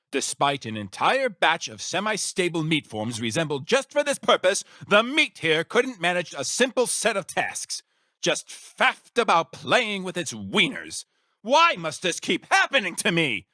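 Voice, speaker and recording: An actor playing an eccentric, grandiose mad scientist in a video game, secretly villainous, with a theatrical, pompous, wordy manner. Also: male voice, on the high side, sharp tone, dry recording, clean studio sound, heavily acted. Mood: exasperated, disappointed. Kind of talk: video game character voice acting.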